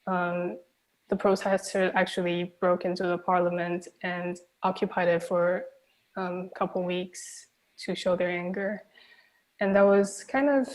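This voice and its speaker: A young woman from Taiwan, whose first language is Mandarin, talks through her film in a soft, unhurried way. Her pitch barely moves, and she leans on "kind of" and pauses while she thinks. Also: poor audio. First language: Mandarin Chinese. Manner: soft-spoken, thoughtful, with frequent "um" and "kind of". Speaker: film director guest. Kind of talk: reflective festival Q&A answers.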